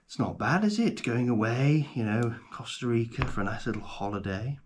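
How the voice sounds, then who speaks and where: A man playing a genial, gentle paleontologist in an ASMR roleplay, speaking softly, often in a whisper, with an unhurried, hesitant and gently delighted manner. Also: medium pitch, lively intonation, a little hiss or hum, voice pushed up, close to an everyday voice. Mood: chatty, wry. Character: genial, gentle male paleontologist guiding a trainee.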